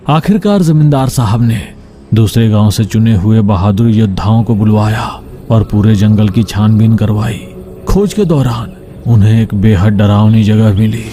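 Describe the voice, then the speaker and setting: Dark-voiced male horror story narrator. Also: fairly low pitch.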